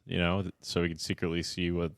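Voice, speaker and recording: A man's voice: deep voice, completely flat delivery, quick pace, gravelly, clean tone, no echo. A man co-hosting a comedy podcast, rambling and riffing on wordplay in casual banter from a studio. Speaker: podcast co-host.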